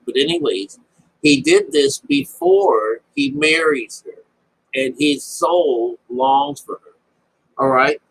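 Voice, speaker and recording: A man with a husky voice giving informal, unscripted Bible commentary, rambling, repeating phrases and checking in with his listeners. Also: a little high, animated, takes it slowly, slight background noise, low-quality recording. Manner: rambling explanation with frequent check-ins like "all right?" and "okay?". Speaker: Bible teacher.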